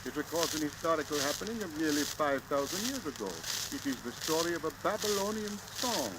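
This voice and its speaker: A man's voice: fairly high pitch, expressive, fast, close to an everyday voice. A man playing an earnest, deferential archaeologist's assistant, explaining things in the style of 1930s radio drama. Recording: very noisy, crackly 1938 transcription disc.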